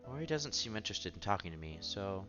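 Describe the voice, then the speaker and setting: A man with a lowish voice reads a visual novel aloud as he plays, slipping between narration and casual reaction. He sometimes trips on a line and repeats it. The sound is a bit dull and lo-fi, with game music playing behind him. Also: big pitch swings, fast talker, pushed and bright. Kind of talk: playthrough narration, reading story text aloud with casual reactions. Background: visual novel background music.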